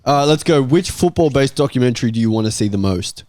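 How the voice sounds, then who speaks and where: A man's voice: mid-range voice, lively intonation, fast talker, a little mellow, dry recording. A man hosting a casual group football chat. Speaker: host of a football panel show.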